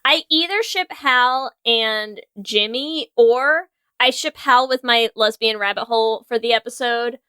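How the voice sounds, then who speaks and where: A woman's voice: on the high side, measured pace, sharp tone, clear, resonant tone, no echo. A woman co-hosting a casual comedy podcast with playful, jokey banter. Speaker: podcast co-host. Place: remote recording over video call.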